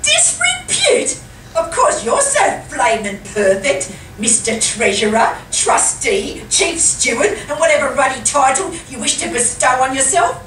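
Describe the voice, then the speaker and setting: A woman acting an indignant, sharp-tongued older churchwoman in an amateur live radio comedy, haughty and accusing. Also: sits high, very animated, unhurried, far from the mic, exaggerated voice. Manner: haughty, exaggerated outrage. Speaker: narrator and actor in a live comedy radio play. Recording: echoey hall from afar, noisy.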